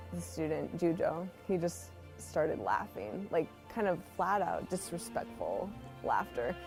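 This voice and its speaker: Mellow, earnest woman over music. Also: fairly low pitch. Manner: earnest, informal, conversational. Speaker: missionary teacher. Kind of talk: personal testimony to camera. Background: background music.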